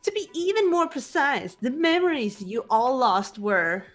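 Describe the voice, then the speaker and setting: Girl doing gloating young villainess for a game dub, high voice, swings up and down, music under it. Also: animated, a little slow. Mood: mock-sweet gloating. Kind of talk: fan dub of a visual novel game, dramatic acting.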